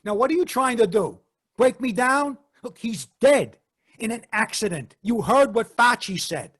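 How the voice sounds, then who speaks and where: An actor playing a jittery, defensive young fisherman in a radio drama, husky and stammering. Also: extremely high pitch, lively intonation, unhurried, a bit bright, exaggerated voice. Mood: panicked, defensive. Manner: stammering, agitated. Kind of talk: 1940s radio drama acting.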